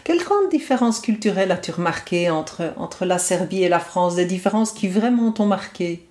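A woman's voice: fairly low pitch, animated, moderate pace, a little mellow. A woman interviewer asking attentive, encouraging questions.

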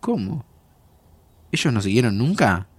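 A sympathetic male friend in an audio drama. His middle-pitched voice has a Rioplatense accent. He talks informally and expressively, with curiosity, in a very dry recording. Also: big pitch swings, a little slow, husky, no echo. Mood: concerned. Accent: Rioplatense Argentine. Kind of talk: naturalistic audio drama dialogue.

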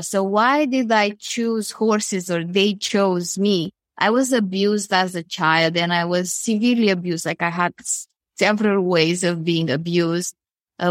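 A woman's voice: mid-range voice, no room sound. A woman from Romania with a Romanian accent, speaking earnestly as a panel guest about her foundation. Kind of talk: panel discussion on a call.

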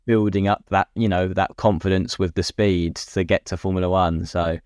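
A man's voice: sits low, fairly even intonation, moderate pace, darkish tone, no room sound, studio quality. A man co-hosting a podcast, chatting casually and matily about sport and offering his opinions. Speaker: podcast co-host.

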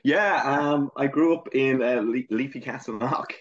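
A man's voice: medium pitch, measured pace, no room sound. A middle-aged man with a Dublin accent, answering interview questions reflectively, hesitant with many fillers and restarts. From Ireland. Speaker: guest filmmaker. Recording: muffled lo-fi sound.

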